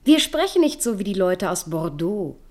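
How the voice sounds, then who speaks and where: Solange, cool mocking woman with lively swoops , an actress. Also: mid-range voice, moderate pace, natural voice. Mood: mocking, teasing. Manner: teasing, blunt, provocative. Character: Solange, cool, mocking, sharp-witted Parisian woman lover. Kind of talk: German radio play dialogue.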